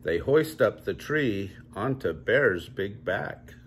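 An older man reading a children's story aloud at home in measured, drawn-out storybook phrasing. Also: on the low side, expressive, slight background noise. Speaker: grandpa reading a picture book aloud. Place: small home room, indoors.

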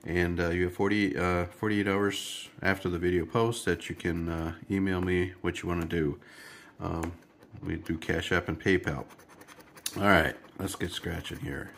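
A man's voice: sits low, fairly even intonation, moderate pace, vocal fry, fairly bright tone, slight background noise. A man hosting a scratch-ticket video at his kitchen table, chatting to viewers with a stuffy, congested nose. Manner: chatty, rambling, talks to viewers while scratching. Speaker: lottery scratch-off channel host. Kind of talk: casual scratch-ticket commentary.

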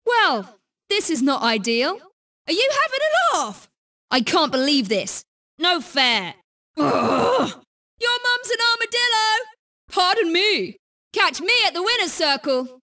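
A woman's voice: extremely high pitch, sing-song, slow, sharp tone, distant mic, full character voice. A British woman voicing a cheeky, confident young female kart racer in a video game, sassy and exclamatory. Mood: annoyed. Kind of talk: video game character barks.

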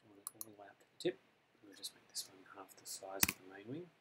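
An Australian man explaining a computer design program step by step in a relaxed tutorial, with frequent ums. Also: fairly low pitch, fast talker, heavy background noise. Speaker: software author demonstrating his add-in. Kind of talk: screen-recorded software tutorial. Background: mouse clicks and keyboard typing.

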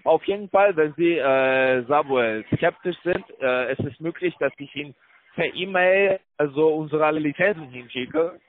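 A man working as a call-centre broker, making a halting, repetitive, placating sales pitch over the phone. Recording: tinny phone line with loud-enough background noise.

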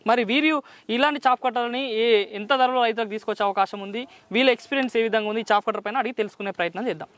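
A young man hosting an outdoor farm interview, prompting quickly and echoing his guest's answers back. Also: extremely high pitch, fairly bright tone. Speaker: host interviewing a farmer. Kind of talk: agricultural interview. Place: outdoors on a farm beside a tractor.

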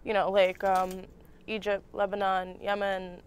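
A young adult woman with a medium-pitched voice speaks as a talk show co-host. Her delivery is conversational and informal, recorded in a studio with slight background noise. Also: completely flat delivery, a little slow. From United States. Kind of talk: casual chat. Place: small TV studio with curtains.

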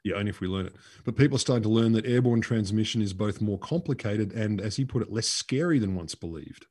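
A man's voice: lowish voice, fast, darkish tone, husky. A middle-aged Australian man, co-host of a podcast, telling a science story in emphatic casual chat over a headset from a room at home. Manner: storytelling, emphatic, gestures while talking. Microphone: headset on a video call.

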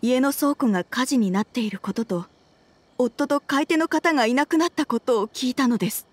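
Game role: troubled wife and mother, higher-pitched, measured, courteous and earnest. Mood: regretful. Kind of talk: video game voice acting.

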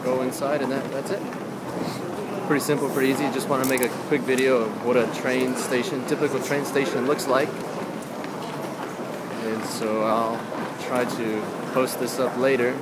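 A young adult man casually narrating a walking vlog in a plain, low-key way, recorded on a handheld camera. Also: flat delivery, normal speed. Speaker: vlogger. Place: outdoors in rain and busy train stations. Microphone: handheld camera at arm's length. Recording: loud street and station noise, handheld camera. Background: urban ambience, trains, vehicles.

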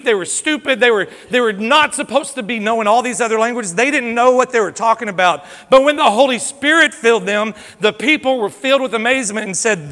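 A pastor preaching a fervent sermon, swinging from loud exhortation to hushed, confessional pleading. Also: male voice, very high-pitched. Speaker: lead pastor. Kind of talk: impassioned sermon. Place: church sanctuary. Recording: long hall reverb from a church sanctuary.

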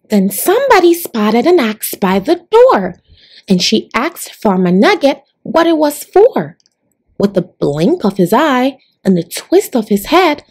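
Female storyteller for children's read-alouds: highish voice, very lively intonation, addressing young listeners deliberately. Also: slightly rough. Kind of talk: expressive picture-book read-aloud for children.

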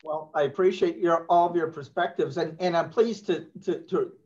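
A man in his sixties moderating a panel from his office over a video call, courteous and a little halting. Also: on the high side, darkish tone, a little hiss or hum. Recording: slightly dull video call audio.

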